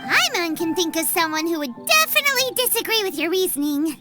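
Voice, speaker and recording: A woman's voice: extremely high pitch, very animated, brisk. A woman voicing a tiny, chirpy, childlike fairy companion in a video game, with music behind her. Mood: cheerful, chatty.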